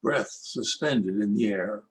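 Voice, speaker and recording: An older Canadian man reading his own rhyming poems aloud in a measured, lilting recitation. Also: on the low side, a little hiss or hum, low-quality recording. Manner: lilting, expressive recitation with rhythmic rhyme. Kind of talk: slow, measured poetry reading.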